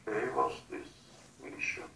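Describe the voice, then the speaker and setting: A man speaking as if in a trance, in short, halting, fragmented statements with a breathy delivery. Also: pushed and bright. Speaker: hypnosis subject relaying messages. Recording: distant, echoey, noisy recording.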